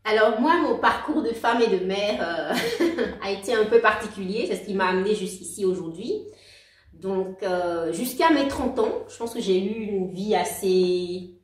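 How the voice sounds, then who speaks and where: A woman in her forties speaking as a guest in a casual interview, warm and halting, often restarting and laughing softly. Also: mid-range voice, animated. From outside Belgium. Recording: roomy, distant mic.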